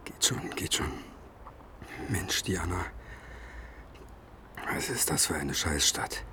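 A man's voice: deep voice, lively intonation, noisy background. An actor playing an anxious man searching for someone in a radio crime drama, speaking hesitantly. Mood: shaken. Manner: hesitant, halting. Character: anxious, halting man searching for a missing girl.

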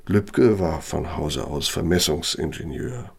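An actor in a radio play as an aging, self-justifying architect with a Nazi past, speaking deliberately and emphatically in a gravelly, husky voice. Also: male voice, very deep voice, animated, heavily acted. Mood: genial, boastful. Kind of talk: German radio play monologue and dialogue.

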